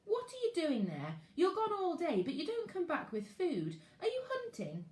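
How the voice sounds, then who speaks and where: A sensible, cautious boy with a wry streak, speaking earnestly and asking questions in a raised, youthful pitch, read aloud by a woman. The voice has a roomy echo. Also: wildly swooping pitch, moderate pace, darkish tone, full character voice. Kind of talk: reading a novel aloud.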